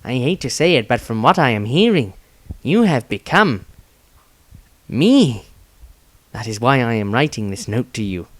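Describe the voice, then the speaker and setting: Remorseful male scoundrel, acted by a man, earnest, confessional, expressive intonation, fantasy storybook letter. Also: medium pitch, a little slow, pauses often, no room sound. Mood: urgent pleading.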